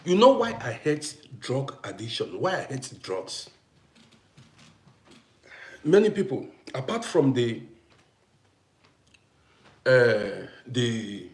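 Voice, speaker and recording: A man's voice: mid-range voice, big pitch swings, slow, long pauses, echoey room. A middle-aged man from Congo hosting a casual live stream, talking emphatically, repeating himself and greeting viewers by name. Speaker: TikTok live host.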